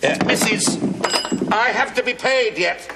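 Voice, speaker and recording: An actor playing a middle-aged working stonemason in a television play, wry and grumbling, with mock-deferential, theatrical phrasing. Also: male voice, high-pitched, a little slow, vocal fry. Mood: wheedling. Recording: echoey hall, faint noise, 1980s TV recording.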